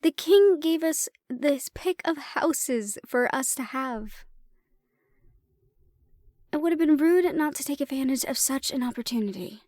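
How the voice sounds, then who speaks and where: A woman acting as an affectionate, teasing woman in a fantasy roleplay audio drama. Her voice is high and soft, close and very dry. She talks intimately to her partner, unhurried, leaving pauses. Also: animated, no room sound. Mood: teasing, warm. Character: affectionate, teasing human woman, dragon's partner and healer shopkeeper.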